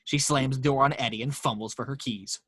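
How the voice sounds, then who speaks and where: A man reads the action lines of a script at a home table read, brisk and matter-of-fact. His voice sits at a middle pitch, and the sound is close and dry with no room echo. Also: a bit bright, slightly rough. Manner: brisk reading of stage directions. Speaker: narrator reading action lines.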